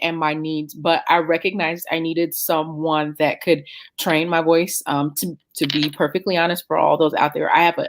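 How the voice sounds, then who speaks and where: A woman in her thirties with a notably low speaking voice answers interview questions. She talks reflectively, pausing on fillers and restarting her sentences as she goes. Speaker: opera singer, interview guest.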